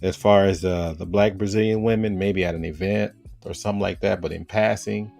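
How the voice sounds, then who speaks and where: A man from the United States with a very low, deep voice, asking questions in a loose, easygoing way full of fillers and restarts. Faint music sits underneath. It sounds like a casual online chat. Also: fairly quick, creaky. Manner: relaxed, rambling with fillers and restarts. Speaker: host.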